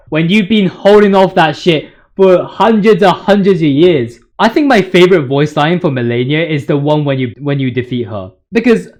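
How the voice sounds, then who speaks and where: Young male gaming YouTuber, high voice, informal and excitable, rambles in heavy slang and exaggeration. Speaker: video creator, commentator. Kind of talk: informal video game commentary.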